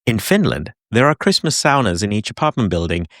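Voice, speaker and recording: A man's voice: on the low side, very animated, brisk, a bit bright, no room sound, studio quality. A man narrating a podcast episode, telling personal holiday stories in a reflective way. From Hong Kong. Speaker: podcast host. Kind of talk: scripted narration.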